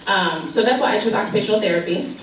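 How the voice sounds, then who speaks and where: A woman's voice: mid-range voice, fast, slight background noise. Student speaker, woman in her twenties, from Indiana, a little shaky; distant mic, clinking dishes. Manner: nervous, stumbling at first. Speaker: occupational therapy student panelist. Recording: echoey banquet hall, distant mic, dish clatter. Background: cutlery and dishes clinking.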